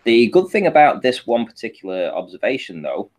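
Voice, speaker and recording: A man's voice: mid-range voice, very animated, fairly quick, a little mellow. A man speaking informally as a panel guest, talking listeners through images step by step and checking they follow. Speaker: panel guest presenting a photo analysis. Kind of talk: informal explanatory talk over shared images.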